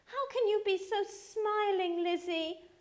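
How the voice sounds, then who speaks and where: Shrill, wailing young Regency girl. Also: slow pace. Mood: despairing wailing. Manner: theatrical, lamenting. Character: wailing, melodramatic, self-pitying young Regency sisters.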